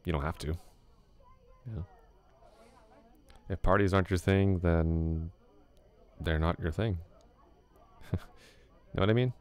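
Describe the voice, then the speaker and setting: A man playing a laid-back flirty classmate, very deep. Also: slow, pauses often, a little mellow, clear, resonant tone. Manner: casual, easygoing, chuckles often. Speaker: ASMR roleplay voice actor. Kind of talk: ASMR roleplay, soft close talk.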